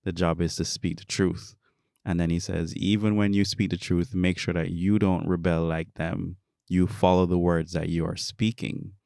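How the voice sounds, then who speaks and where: A man's voice: sits low, little pitch movement, moderate pace, vocal fry, a little mellow, no echo, clean studio sound. A man giving informal commentary on scripture in an earnest, explanatory way. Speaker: Bible study commentator.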